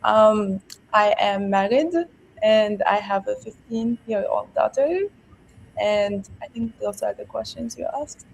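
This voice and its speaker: A woman in her forties introduces herself as a panel guest in a plain, matter-of-fact way. Her voice has a gravelly edge. A faint hum of background ambience sits under her speech. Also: medium pitch, level tone, slow pace, low-quality recording. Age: 40s.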